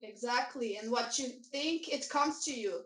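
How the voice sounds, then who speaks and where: Young woman coach, high-pitched and hesitant, roomy studio sound. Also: measured pace, a bit bright. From former Yugoslavia. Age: young adult. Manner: hesitant, many fillers, searching for words. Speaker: life and business coach. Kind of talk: interview answers.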